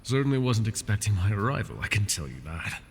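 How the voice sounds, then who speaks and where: A man voicing a wounded male dragon who keeps a human captive, soft-spoken and polite, often slipping into whispers, for an ASMR fantasy roleplay. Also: fairly low pitch, sing-song, a little fast, gravelly, a little hiss or hum. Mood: doting. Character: wounded male dragon, possessive yet doting captor.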